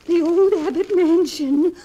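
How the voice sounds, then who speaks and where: Old radio drama, elderly invalid aunt, high voice, mellow, wistful, anxious, frail. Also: female voice, unhurried, muffled recording. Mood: distressed. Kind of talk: 1940s radio drama acting. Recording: noisy vintage 1940s radio recording.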